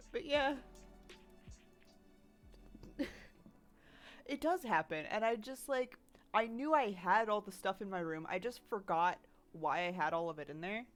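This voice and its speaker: A woman's voice: medium pitch, lively intonation, takes it slowly, pauses often, faint music behind. A sniffly young woman streamer chatting casually from her bedroom, rambling and laughing often as she reads chat while she draws. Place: home bedroom.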